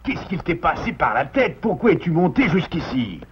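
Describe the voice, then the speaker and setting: A man voicing a caring, firm but loving father beaver in a French cartoon, expressive and gently lecturing. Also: fairly high pitch, normal speed, slightly rough, exaggerated voice. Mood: tender, reproachful. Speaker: voice actor. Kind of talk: French cartoon dub acting. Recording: dull lo-fi cartoon soundtrack, music behind.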